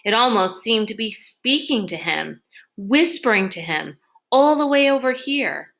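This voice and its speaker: A woman reading a children's novel aloud in an expressive storytelling style. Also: on the high side, measured pace, gravelly. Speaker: read-aloud reader. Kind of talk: expressive children's book narration.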